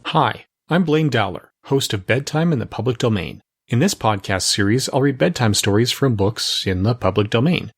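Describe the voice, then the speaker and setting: A man's voice: medium pitch, lively intonation, normal speed, hardly any pauses, slightly rough, no echo, clean studio sound, soft background music. A man reading a scripted promo as a podcast host.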